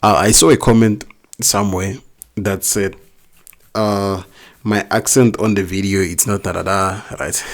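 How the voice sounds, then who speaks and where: A man's voice: lowish voice, steady tone, measured pace, long pauses. A man with a non-native English accent, teaching a recorded math lesson step by step, asking questions and answering them himself. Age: adult, not young.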